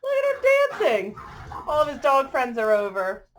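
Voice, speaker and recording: A woman's voice: fairly high pitch, huge pitch swings, moderate pace, a bit bright, slight background noise, poor audio. A woman reading a children's picture book aloud expressively, adding chatty asides and drawing listeners in with questions and exclamations.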